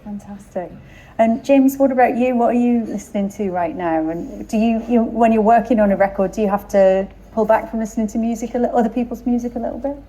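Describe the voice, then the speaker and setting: A woman hosting an interview, asking questions and prompting with quick affirmations. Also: on the high side, dark tone.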